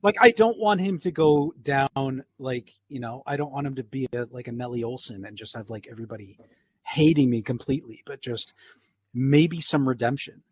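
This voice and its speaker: A man's voice: medium pitch, normal speed, slightly rough, no room sound. A man in a casual podcast chat as an interview guest, talking in a chatty way, trailing off and rephrasing with frequent "like". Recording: slightly dull, dry close recording.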